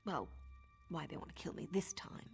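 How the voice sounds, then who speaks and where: Cool seductive assassin, teasing, menacing, very animated intonation, game dialogue, light music behind. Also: female voice, fairly low pitch, moderate pace, creaky, whispered. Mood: wary, determined. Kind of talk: video game character dialogue.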